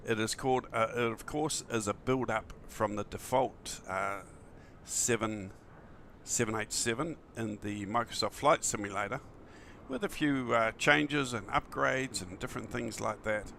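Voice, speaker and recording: A man with a croaky, just-woken voice, chatting casually and enthusiastically as a friendly flight simulator streamer who rambles a little. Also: medium pitch, unhurried, sharp tone, slight background noise. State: early-morning croaky voice, still waking up. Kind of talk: casual livestream chat.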